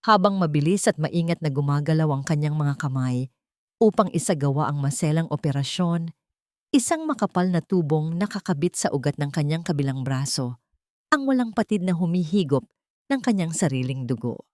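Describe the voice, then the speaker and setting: A woman narrator reading a scripted dramatic audio story in a measured, theatrical storytelling way. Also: low voice, lively intonation, a little mellow, dry recording, studio quality.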